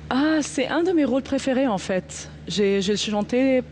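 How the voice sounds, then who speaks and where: A woman's voice: high-pitched, expressive, moderate pace, a little hiss or hum. Hesitant Egyptian opera singer, a woman. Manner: hesitant, warm, laughs easily. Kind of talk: live interview answers. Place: outdoors at a live broadcast.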